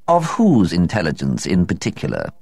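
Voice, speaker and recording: A man voicing a coldly indifferent male house cat, speaking in a cool, disdainful and unhurried way. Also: low-pitched, wildly swooping pitch, gravelly, darkish tone, dry recording, heavily acted. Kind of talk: storybook reading.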